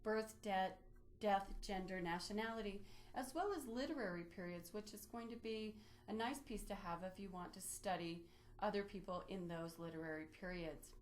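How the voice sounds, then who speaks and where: A woman presenting an instructional software walkthrough, explaining methodically step by step in a lightly improvised way. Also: mid-range voice, moderate pace, a little hiss or hum. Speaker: presenter of a library database tutorial.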